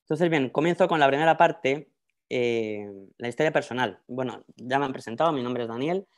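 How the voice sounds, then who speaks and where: A man's voice: mid-range voice, moderate pace. A middle-aged man with a Madrid Spanish accent, giving an informal academic talk in a conversational way with frequent tag questions, over a video call on an earphone mic. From Madrid, Spain. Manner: conversational, often tagging phrases with a questioning "¿no?". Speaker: academic panelist, researcher. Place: indoors in a book-lined room, on a video call. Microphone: wired earphone headset on a video call.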